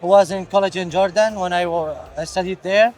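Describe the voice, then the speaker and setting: Syrian guy, hesitant answers, high-ish voice, bit of music in the back. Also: fairly even intonation, a little fast. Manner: hesitant, many fillers and restarts, non-native English. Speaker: student. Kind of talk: casual interview answers.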